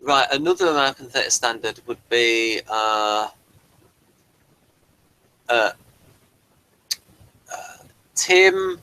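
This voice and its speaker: A man's voice: mid-range voice, lively intonation, takes it slowly, long pauses, sharp tone, clean tone, dry recording, rough recording. A man hosting a review discussion, talking in a hesitant, digressive way as he explains things. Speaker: host of a TV review show.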